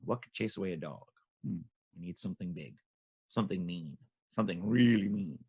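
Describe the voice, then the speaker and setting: Royal advisor for a storybook, played by a male narrator: reassuring, musing, husky, creaky, measured delivery. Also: mid-range voice, lively intonation, no room sound. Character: scheming, soothing male royal advisor with simple solutions. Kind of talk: children's storytelling performance.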